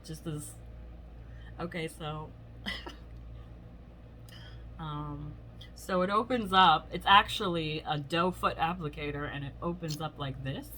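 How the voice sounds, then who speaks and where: A hoarse woman chatting casually through a beauty product review, rambling with lots of fillers. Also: low-pitched, slow, long pauses, bright, forceful tone, slight background noise. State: hoarse from illness. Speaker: beauty vlogger. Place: home.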